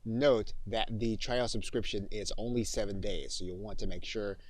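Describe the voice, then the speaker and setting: A man narrating a software tutorial as an instructor, in a methodical step-by-step way. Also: on the low side, fairly even intonation, moderate pace, dry recording.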